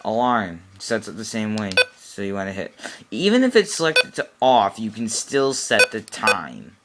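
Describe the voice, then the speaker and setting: Male product demonstrator, lowish voice, matter-of-fact, unscripted step-by-step walkthrough. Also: wildly swooping pitch, moderate pace, very noisy. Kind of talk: informal how-to tutorial, unscripted. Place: small cluttered room indoors.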